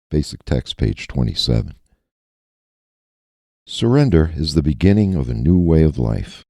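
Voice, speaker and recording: Man, deep gravelly voice, earnest meditation reader, dry booth sound. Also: mellow, no echo. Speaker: recovering addict reading a daily meditation. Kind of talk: reading a recovery meditation aloud.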